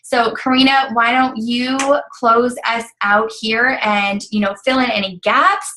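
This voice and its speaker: A woman's voice: fairly high pitch, steady tone, a little slow, bright, forceful tone, slight background noise, rough recording. A woman talking casually and upbeat, explaining how a coaching business pays, with many fillers. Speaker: network marketing coach. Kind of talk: casual recruiting talk.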